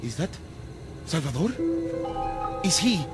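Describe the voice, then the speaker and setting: An actor playing a fussy, grumbling, excitable village telegraph clerk in a radio drama. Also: male voice, on the high side, takes it slowly, loud music behind the voice. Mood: anxious, curious.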